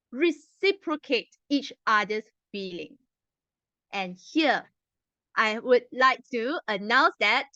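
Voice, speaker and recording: A woman's voice: high voice, bright, forceful tone, no room sound. A young woman with a Mandarin accent, a non-native English speaker, opening a club meeting with a rehearsed speech full of emphatic rhetorical questions. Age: young adult. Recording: dull lo-fi dry sound.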